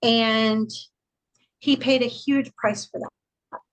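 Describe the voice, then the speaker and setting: A woman's voice: on the high side, fairly even intonation, takes it slowly, poor audio. A woman co-hosting a podcast, talking frankly and earnestly in casual chat and laughing easily. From United States. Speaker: podcast co-host.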